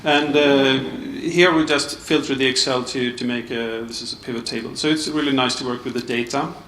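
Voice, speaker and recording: A man giving a conference panel talk, halting with restarts and many uhs. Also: medium pitch, fairly even intonation, a bit bright. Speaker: panel speaker, architect. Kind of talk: conference presentation. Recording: echoey hall, mic off at a distance, faint room noise.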